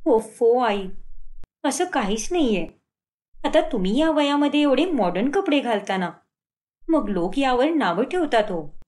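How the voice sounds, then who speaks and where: Woman playing a sensible, animated young daughter-in-law. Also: a little high, a little slow. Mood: concerned. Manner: polite, earnest.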